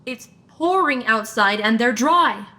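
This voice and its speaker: A young woman playing a sharp, decisive investigator in a supernatural comedy audio drama, teasing with deadpan banter. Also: on the high side, slow pace, full character voice. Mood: dry, uneasy.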